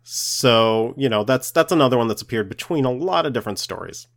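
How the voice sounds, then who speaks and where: A man co-hosting a podcast, explaining lore in a halting way as he searches for words. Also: medium pitch, expressive, a bit bright. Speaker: podcast co-host.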